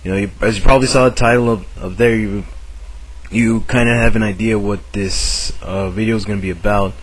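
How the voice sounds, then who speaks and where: Raspy man with a cold. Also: lowish voice, steady tone, poor audio. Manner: halting, full of fillers and restarts. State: sick with a cold, sore throat. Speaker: video maker. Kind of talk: casual chat to camera.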